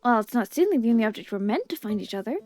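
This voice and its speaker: A woman's voice: a little high, animated, very fast, darkish tone, close to an everyday voice. Woman voicing eager, scatterbrained adventuring knight. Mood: eager, boastful. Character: cheerful, scatterbrained adventuring male knight, proudly curious. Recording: game music behind the voice.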